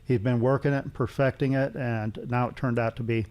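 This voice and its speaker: A middle-aged or older man giving casual technical explanations as an interview guest, in a halting, rambling way with repetition. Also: mid-range voice, fairly even intonation, darkish tone. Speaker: interview guest, loudspeaker engineer.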